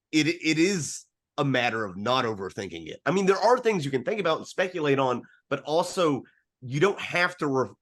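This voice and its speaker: A man's voice: medium pitch, a bit bright. A man co-hosting a casual film discussion, speaking reflectively and swearing freely. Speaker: podcast co-host.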